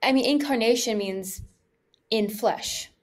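A woman's voice: on the high side, unhurried. A young woman co-hosting a podcast in casual chat, asking questions tentatively and searching for her words. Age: young adult.

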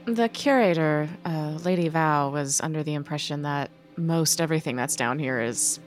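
A woman playing a tabletop role-playing game, talking things through in a deliberate, explanatory way. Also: sits low, soft background music. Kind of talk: casual in-game discussion.

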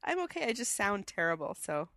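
A woman's voice: mid-range voice, sing-song, fairly quick, a bit bright, no echo, clean studio sound. A woman co-hosting a casual chat show, with a stuffy head cold and a chatty, enthusiastic way of talking. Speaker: podcast co-host.

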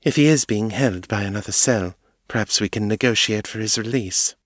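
A man's voice: on the low side, moderate pace, dry recording, studio quality, close to an everyday voice. A man doing a haughty aristocratic vampire lady. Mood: composed. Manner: polished, formal, patronizing. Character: haughty aristocratic female vampire, cool and condescending.

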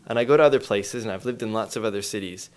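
A man with a fairly low voice speaks as an interview guest. His style is casual and reflective. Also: racing.

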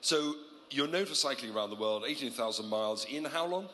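A chatty man hosts a live festival stage session and talks in a loud voice. His voice rings through a roomy hall over steady crowd noise. He jokes his way past the mic trouble. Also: medium pitch, fairly quick. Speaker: festival host and interviewer. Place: stage at a travel writers festival.

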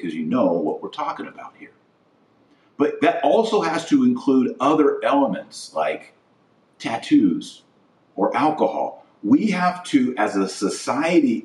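An American man delivering a political monologue as a podcast host, emphatic and deliberate, stressing his phrases with pauses. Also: mid-range voice, darkish tone. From United States. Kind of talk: political platform monologue, speech-like. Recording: roomy echo, distant from mic.

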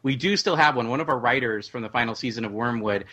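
A man speaking casually and haltingly as a writer-producer guest in a panel discussion. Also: medium pitch, sharp tone.

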